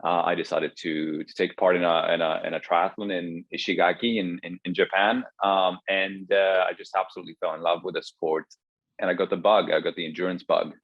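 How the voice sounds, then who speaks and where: A man's voice: low voice, level tone, brisk, no room sound, highs cut off. A man in his thirties from Greece, a startup co-founder speaking as a guest on a remote interview, hesitant with many restarts and fillers. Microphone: video call.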